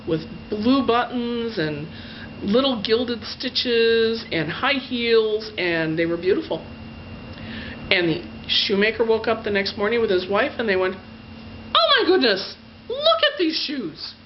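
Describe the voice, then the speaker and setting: Woman telling a fairy tale, lo-fi recording. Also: medium pitch. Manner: gushing emphasis, drawn-out adjectives. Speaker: storyteller. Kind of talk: animated bedtime fairy-tale storytelling, told in own words. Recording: dull lo-fi sound with faint noise.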